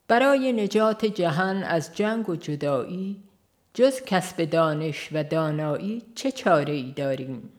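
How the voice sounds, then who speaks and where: A woman reads a religious book as a formal audiobook narrator, in a solemn, measured way. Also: fairly low pitch. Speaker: audiobook reader.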